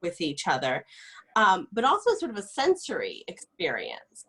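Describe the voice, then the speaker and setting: A woman scholar interviews an author over a video call, her voice husky and her pitch moving in lively swings. She asks long, meandering questions padded with "sort of" and other hedges. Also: normal speed, poor audio. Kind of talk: conversational interview.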